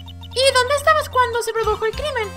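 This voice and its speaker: A man's voice: extremely high pitch, fairly quick, pushed and bright, soft background music, voice pushed up. A man voicing an inquisitive, girlish young female legal assistant from a video game, in a questioning manner. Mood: curious.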